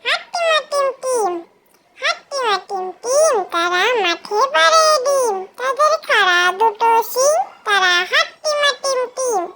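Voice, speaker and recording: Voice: extremely high pitch, animated, measured pace. A tiny childlike voice reciting nursery rhymes in a sing-song way, on a very noisy track with clicks.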